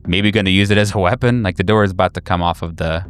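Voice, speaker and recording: Male game master, lowish voice, improvised horror narration with searching pauses, over background music. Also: fairly even intonation. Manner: halting, searching for words. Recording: music behind. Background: clear background music.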